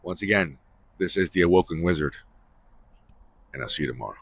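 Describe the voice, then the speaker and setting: Very deep, husky-voiced man. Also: lively intonation, fairly quick. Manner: emphatic, repeats phrases for stress. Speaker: host of a spiritual series. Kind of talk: rambling, unscripted spiritual monologue.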